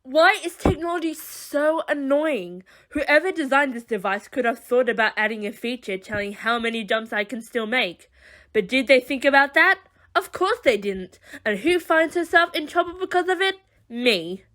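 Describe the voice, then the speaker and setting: A woman acting an exasperated, high-pitched gadget-wielding heroine. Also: lively intonation, normal speed, a bit bright. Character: exasperated, excitable woman who teleports with gadgets. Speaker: voice actor in an audio drama. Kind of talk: amateur audio drama acting.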